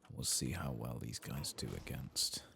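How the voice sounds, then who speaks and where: A soft-spoken, hushed man giving unhurried, understated ASMR commentary over a video game. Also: extremely low pitch, vocal fry. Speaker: host of a gaming playthrough channel. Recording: faint background noise.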